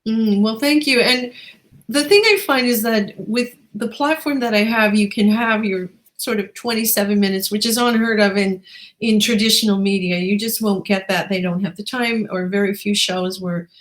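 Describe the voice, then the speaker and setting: A woman's voice: medium pitch, moderate pace. Woman hosting a conversational interview. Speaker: interview show host.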